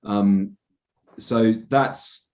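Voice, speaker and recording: Low, dark-voiced young man, halting. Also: poor audio. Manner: halting, many restarts and fillers, earnest. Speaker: journalist, interview guest. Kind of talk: casual podcast conversation.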